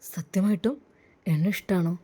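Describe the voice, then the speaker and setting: A tender young doctor speaks to his bride in a lowered, soothing voice, gentle and reassuring. A woman puts him on for a spoken story. His tone stays soft and unhurried. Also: lightly acted. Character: gentle young male doctor, loving husband.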